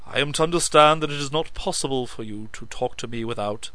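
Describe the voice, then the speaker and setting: Flustered hotheaded captain, louder and bright read by a man. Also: mid-range voice, a little fast, no room sound, pitched above the performer's own voice, close to an everyday voice. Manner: hot-tempered, stumbling when cornered. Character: proud, flustered young male captain.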